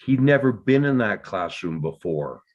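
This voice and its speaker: A man's voice: on the low side, sing-song, moderate pace, dull audio. A man playing a defensive, guilt-ridden father in a dramatic script read, terse and insistent. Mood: stubborn.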